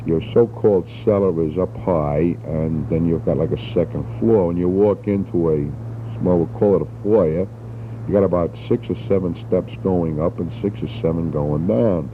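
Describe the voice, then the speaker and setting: A man's voice: deep voice, moderate pace. A middle-aged man, rambling casually and jokily as he records a spoken letter to his family on a 1960s home cassette. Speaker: father recording a taped letter. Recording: muffled, noisy 1960s home cassette tape.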